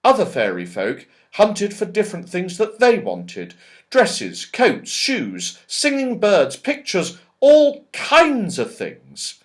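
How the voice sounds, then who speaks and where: Male audiobook narrator for children's fiction, unhurried, very animated delivery. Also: sits high. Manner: lively, expressive reading with character voices. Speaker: audiobook reader.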